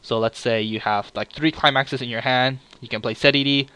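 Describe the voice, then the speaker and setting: A man who is a little under the weather walks through new trading cards in a laid-back review. His voice is smooth and resonant, and it sits dry and close with no room around it. He fills his pauses with "uh" and apologizes easily. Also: medium pitch, dry recording, rough recording. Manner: relaxed and apologetic, many filler "uh"s. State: a little sick. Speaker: channel host reviewing card leaks. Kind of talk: casual card game review commentary.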